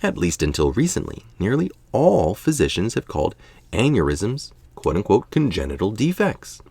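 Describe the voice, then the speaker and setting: A man's voice: lowish voice, very animated, normal speed, creaky, warm tone, breathy edge, no room sound. A tired middle-aged man reading an article aloud, informal, with casual asides. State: tired late at night. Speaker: article reader.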